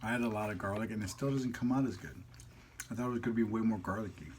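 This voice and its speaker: A man's voice: lowish voice, very fast, a little mellow. Playful man chatting, with noisy background. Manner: playful, jokey, slangy. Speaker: mukbang vlog host. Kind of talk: casual chat while eating on camera.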